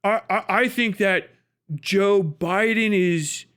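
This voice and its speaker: A man's voice: high voice, slow pace, fairly bright tone, clear, resonant tone. A man livestreaming casual, unscripted political commentary in an exasperated, emphatic way, swearing often and repeating himself to drive points home. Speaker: livestream commentator reacting to clips.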